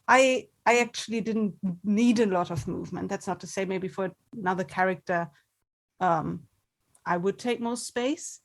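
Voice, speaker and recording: A woman's voice: mid-range voice, normal speed. A woman being interviewed as an actor, talking casually and candidly, thinking her answers through as she goes. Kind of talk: casual chat.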